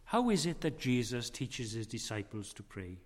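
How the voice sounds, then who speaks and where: A male preacher speaks in a mid-range voice, deliberate and solemn. His phrases often sink into near-whispers for emphasis. The sound rings with the long echo of a church hall. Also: mid-range voice, big pitch swings. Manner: slow, weighty preaching with dramatic drops in volume. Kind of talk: sermon.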